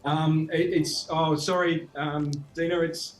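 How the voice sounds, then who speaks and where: Hesitant Australian man, slightly high. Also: flat delivery, slow pace, rough recording. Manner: hesitant, many restarts and fillers. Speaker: guest, marketing executive. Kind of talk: casual interview answers.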